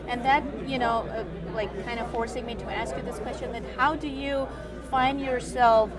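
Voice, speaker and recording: A woman's voice: a little high, steady tone, moderate pace, over loud music. A young woman interviewing a guest, asking rambling questions with many hesitations and fillers. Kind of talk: conversational interview. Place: large indoor event hall with crowd. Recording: crowd chatter behind.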